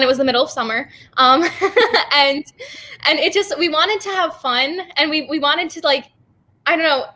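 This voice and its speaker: A woman guest from a theatre company chatting informally and a little haltingly in an interview. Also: high voice, sharp tone. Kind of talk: casual interview chat.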